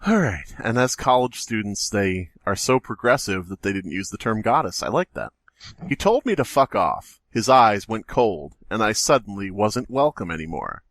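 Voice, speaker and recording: A man's voice: lowish voice, very animated, moderate pace, a bit bright, no echo. A man co-hosting a podcast, casually reading a story and breaking in with wisecracks. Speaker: podcast co-host.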